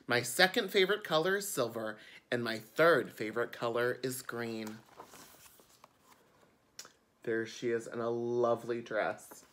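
A man's voice: mid-range voice, unhurried, long pauses, fairly bright tone, slight background noise. A man, a drag queen, reading a picture book aloud expressively for children, with chatty asides. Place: indoors before a curtain.

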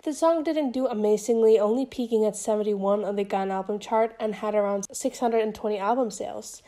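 A woman's voice: mid-range voice, a little fast, dark tone, soft background music. A young woman YouTuber narrating a scripted commentary in a casual, chatty way, her voice still a bit off from being sick.

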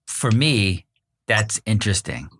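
A man's voice: on the low side, sing-song, slow, fairly bright tone, breathy edge. A man talking casually about poetry as a panelist on a literary podcast.

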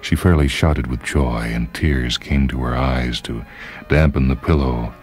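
Extremely deep-voiced male storyteller. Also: steady tone, a little slow, darkish tone. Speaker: story reader. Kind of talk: dramatic literary narration. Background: music.

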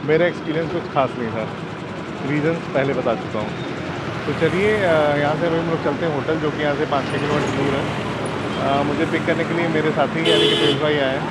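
A man's voice: a little high, heavy background noise. A man in his forties talking to the camera on a travel vlog, chatty and giving tips, recorded outdoors on a lapel mic. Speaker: travel vlogger. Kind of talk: casual travel vlog narration. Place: outdoors on city streets and a rooftop. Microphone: clip-on lapel mic.

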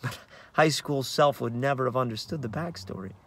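Man, thirties, American accent, mid pitch, actor's narration, halting conversational delivery, uneven emphasis, car interior. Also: a bit bright. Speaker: actor in a staged reading. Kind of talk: dramatic narration of a play read on book. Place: inside a parked car in daylight.

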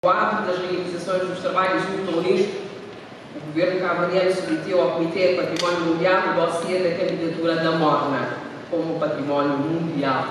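A man delivering a formal speech in a theatre hall. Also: fairly high pitch. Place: theatre hall, heard from the audience. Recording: echoey hall from far, noisy.

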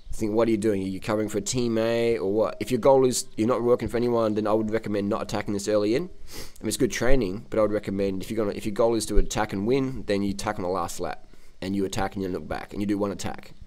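A man's voice: on the low side, darkish tone. An Australian man giving unscripted, casual cycling commentary in a blunt, cocky, slangy way, often repeating phrases for emphasis. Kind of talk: unscripted casual commentary over race footage.

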